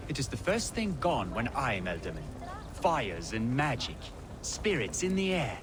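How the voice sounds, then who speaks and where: Actor as sardonic, pious young man, animated. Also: mid-range voice, moderate pace, background noise, lightly acted. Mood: sanctimonious. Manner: sardonic, sanctimonious. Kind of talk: video game cutscene acting.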